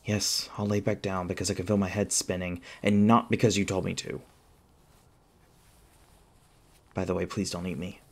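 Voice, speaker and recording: Audio roleplay: male actor as anxious young student, low and creaky, wry, flustered, halting rambler. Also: level tone. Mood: nervous, sarcastic. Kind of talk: audio roleplay acting, one-sided dialogue.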